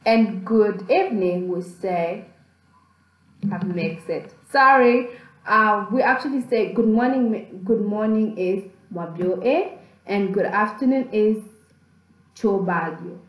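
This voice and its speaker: A woman's voice: medium pitch, slow pace, dull audio. A young woman from the Democratic Republic of the Congo, a native Kibembe speaker, chatting upbeat on a webcam vlog in a small plain room, teaching words of her language. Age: young adult. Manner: chatty and upbeat, with fillers and restarts. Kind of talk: casual vlog lesson. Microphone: webcam in front of her. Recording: echoey room.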